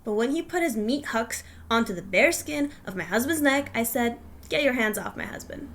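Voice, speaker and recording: A woman reading a humorous personal essay aloud in an expressive, emphatic, mock-stern way. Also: a little high, normal speed, slight background noise.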